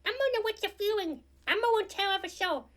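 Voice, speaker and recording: Tiny childlike monster for comic radio play: extremely high, piercing bright voice, earnest, innocent, eager. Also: male voice, brisk. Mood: frightened, pleading. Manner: speaks of self in third person, earnest. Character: Elmo, small childlike monster, eager and innocent, playing a boy. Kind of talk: comedic radio play acting.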